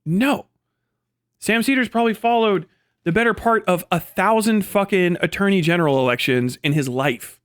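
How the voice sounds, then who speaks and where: A man's voice: sits high, moderate pace, smooth, no room sound, studio quality. A man hosting a livestream, giving casual, profanity-laced commentary in an exasperated, incredulous, argumentative manner. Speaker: livestream host reviewing own debate.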